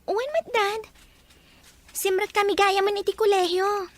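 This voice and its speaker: A woman's voice: very high-pitched, fairly even intonation, normal speed. A woman playing a sweet, dutiful college-age daughter in a radio drama. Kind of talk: Filipino radio drama acting.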